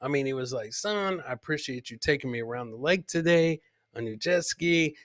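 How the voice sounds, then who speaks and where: A man's voice: mid-range voice, lively intonation, moderate pace, fairly bright tone, no echo, clean studio sound. A man hosting a comedy podcast, casually commenting on funny video clips and repeating his own catchphrases. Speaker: podcast host.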